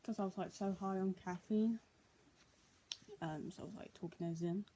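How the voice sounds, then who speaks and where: A woman's voice: mid-range voice, fairly even intonation, moderate pace, dark tone, slight background noise, low-quality recording. A young adult woman, speaking as a vlogger in a casual, homemade style. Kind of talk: casual vlog, reading news aloud and chatting.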